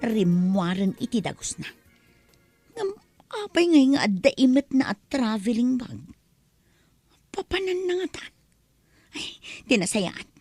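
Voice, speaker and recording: A woman's voice: a little high, very animated, unhurried, pauses often, darkish tone, faint music behind. A woman acting an obsessed, clingy and jealous girlfriend in an Ilocano comedy drama, with an Ilocano Filipino accent and a whiny, melodramatic manner. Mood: scheming, determined.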